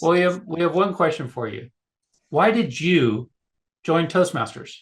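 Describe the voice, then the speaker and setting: A man's voice: fairly high pitch, lively intonation, unhurried. A man hosting a short interview, asking brief, polite, formal questions.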